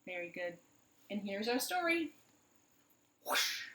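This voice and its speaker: A woman's voice: mid-range voice, sing-song, takes it slowly, bright, forceful tone. A woman hosting storytime for young children, speaking expressively in simple phrases and questions. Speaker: children's library storytime host. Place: living room at home. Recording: roomy, echoey home recording.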